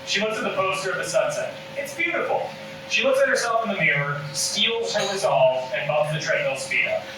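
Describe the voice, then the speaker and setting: A man's voice: high voice, lively intonation, fairly quick. A man reading scene directions as scripted narration at a table read. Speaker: stage directions reader. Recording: roomy, distant mic with loud background noise.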